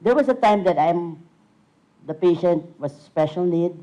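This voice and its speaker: Low-voiced woman missionary dentist. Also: expressive, dark tone, highs cut off. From Philippines. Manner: halting, searching for words. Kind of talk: casual presentation.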